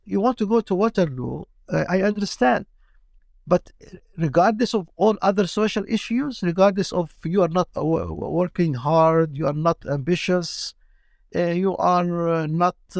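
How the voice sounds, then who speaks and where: A middle-aged man from Palestine, a teacher speaking as a guest in a casual interview, halting and often repeating his words. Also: fairly high pitch, creaky, a little mellow, dry recording, clean studio sound.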